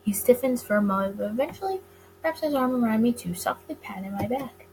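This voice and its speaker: High-voiced woman reading a story aloud. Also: expressive, fairly quick, a little mellow, slight background noise, rough recording. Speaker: gaming video creator. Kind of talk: reading a visual novel aloud, dramatic narration.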